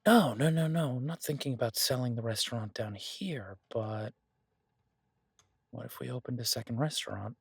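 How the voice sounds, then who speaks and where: A man playing an easygoing, earnest chef boyfriend in an intimate fantasy audio roleplay, talking in a halting, reassuring, conversational way. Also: medium pitch, vocal fry, a bit bright, breathy edge, no echo, studio quality.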